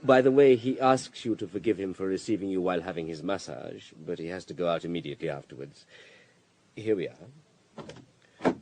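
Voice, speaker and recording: A man's voice: low voice, moderate pace, darkish tone. An actor playing a deferential personal assistant to a rich man in a radio drama, formal and obliging. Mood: formal, welcoming.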